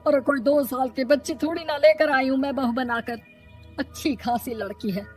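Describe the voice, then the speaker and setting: Nagging, high-voiced mother-in-law, played by a woman. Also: fairly even intonation, normal speed, faint music behind, natural voice. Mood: complaining. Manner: scolding, mocking complaints. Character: nagging, exasperated middle-aged Indian mother-in-law. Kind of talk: Hindi moral-story cartoon acting.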